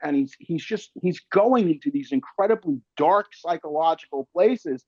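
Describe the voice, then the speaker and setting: Higher-voiced man, swearing storyteller, dull sound. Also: moderate pace, no room sound. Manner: animated storytelling, swears freely; slangy, calls listener man and brother. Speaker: interview guest, actor and filmmaker. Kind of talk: casual chat.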